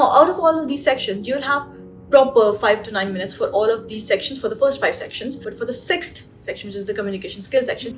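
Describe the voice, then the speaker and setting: Young woman, Indian accent, chatty vlog advice, mid-range voice, slightly distant mic, guitar music behind. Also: mid-range voice. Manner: chatty, conversational, with frequent fillers and "right?" tag questions. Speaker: doctor giving career advice.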